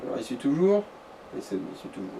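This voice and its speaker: A man's voice: medium pitch, big pitch swings, fast, dark tone, distant mic, slight background noise, poor audio. A man giving casual, explanatory advice on a video game. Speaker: co-commentator advising the player. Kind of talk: casual gaming commentary.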